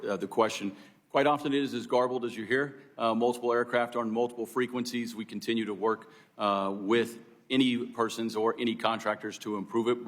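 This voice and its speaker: A middle-aged man giving formal unscripted testimony as an expert witness, explaining things courteously and step by step. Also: mid-range voice. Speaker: air traffic control expert witness.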